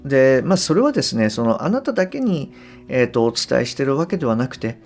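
A man hosting a podcast, talking reflectively and motivationally straight to the listener, often pausing to find words and letting sentence ends trail softly. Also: medium pitch, big pitch swings. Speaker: podcast host. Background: guitar music.

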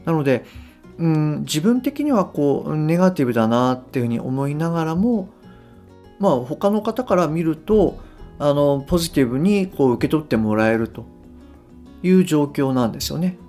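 A middle-aged man talking unscripted as a podcast host and coach, easygoing and unhurried, explaining things to the listener with gentle emphasis and hesitant phrasing. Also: on the high side, expressive. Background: guitar music.

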